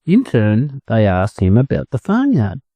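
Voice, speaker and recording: A man's voice: mid-range voice, huge pitch swings, measured pace, warm tone, no room sound. A man with an Australian accent reading a children's story aloud as a scripted narration for English learners.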